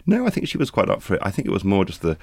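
Man, podcast co-host, very deep voice, dry jokes. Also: wildly swooping pitch, rapid-fire, creaky, darkish tone, dry recording. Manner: dry, joking. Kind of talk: podcast discussion. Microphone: studio mic.

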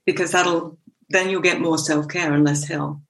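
Low-pitched Australian woman, laid-back guest. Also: normal speed, vocal fry, low-quality recording. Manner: relaxed, laughs easily, persuasive. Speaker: therapist guest. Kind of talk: casual podcast interview.

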